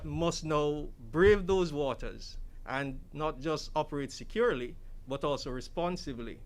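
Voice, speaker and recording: A Jamaican man speaking as an official at a briefing, formal and measured, with emphatic phrasing. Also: on the high side. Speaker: government security official giving a briefing.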